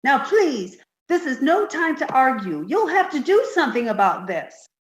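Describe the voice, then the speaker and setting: A woman's voice: high voice, big pitch swings, moderate pace. A woman playing a sweet, scatterbrained and innocent young woman in a radio comedy. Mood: earnest, concerned. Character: sweet, dim-witted naive young woman, Irma. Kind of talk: 1950s radio comedy acting. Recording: echoey room.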